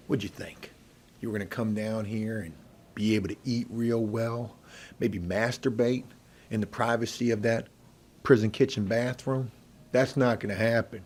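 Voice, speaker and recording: A man playing a middle-aged veteran inmate who bosses the prison kitchen, in an ASMR role play with a gravelly, husky voice and a folksy, intimidating manner. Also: fairly low pitch, steady tone, a little mellow. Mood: sardonic, warning. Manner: folksy, intimidating, drawn-out menacing delivery. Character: gruff, bossy veteran male prison inmate running the kitchen.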